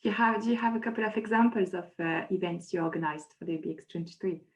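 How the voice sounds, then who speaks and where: A woman's voice: on the low side, flat delivery, fast talker, low-quality recording. A woman hosting a webinar over a video call, presenting and asking a student questions, with many fillers. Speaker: webinar host.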